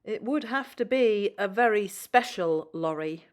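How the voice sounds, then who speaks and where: A woman's voice: mid-range voice, animated, a little slow, close to an everyday voice. A woman reading the part of a polite, helpful male diesel engine in a children's storybook, with an earnest, pleading manner. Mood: eager, coaxing.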